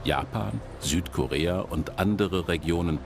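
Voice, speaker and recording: An older man over sixty giving a lively popular-science lecture as a documentary voice-over, explaining and putting questions to the audience. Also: low-pitched, unhurried, gravelly, over music.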